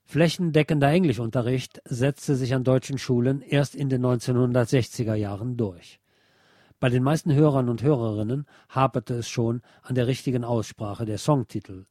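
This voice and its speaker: A man's voice: medium pitch, normal speed, warm tone, no echo, studio quality. A man presenting a scripted, lecture-like essay as a narrator. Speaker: presenter reading a radio essay.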